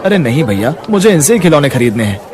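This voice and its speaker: A man's voice: on the high side, very animated, quick pace, a crowd in the background. A man doing a confident kind young buyer. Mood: friendly.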